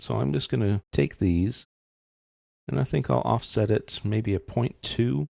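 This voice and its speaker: A man narrating a software tutorial step by step, explaining in a casual, informal manner. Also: deep voice, gravelly, no echo, studio quality.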